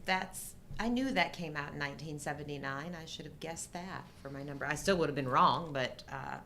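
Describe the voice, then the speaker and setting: A middle-aged woman co-hosting a show in casual movie chat, talking informally in halting fragments. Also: gravelly, bright, forceful tone. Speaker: co-host of a live chat show. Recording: low rumble and background noise.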